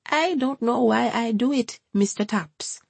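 A woman's voice: fairly high pitch, measured pace, gravelly, darkish tone, husky, no echo, pitched above the performer's own voice, heavily acted. A woman voicing a nervous, devout elderly grandmother with an eager, sing-song way of talking. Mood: anxious.

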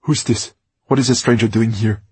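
A man's voice: mid-range voice, fairly even intonation, normal speed, darkish tone, breathy edge, no echo, pitched above the performer's own voice. A man voicing a fierce grieving woman who speaks angrily and abruptly. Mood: angry. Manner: angry, abrupt.

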